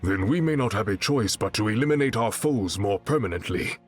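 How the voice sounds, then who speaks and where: A man's voice: on the low side, animated, a little fast, faint music behind, close to an everyday voice. An actor playing a dignified, resolute warrior king in a superhero video game, speaking formally and with composure. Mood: grave.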